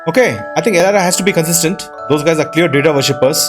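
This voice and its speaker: A man's voice: a little high, racing. A man hosting a casual let's play, chatty and thinking aloud as he goes. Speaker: gaming video host. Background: soft mallet game music.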